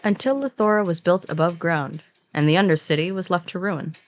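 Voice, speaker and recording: A woman's voice: lowish voice, fairly quick. A woman co-narrating a fiction podcast in a scripted but conversational storytelling style, playful and informal with dry asides.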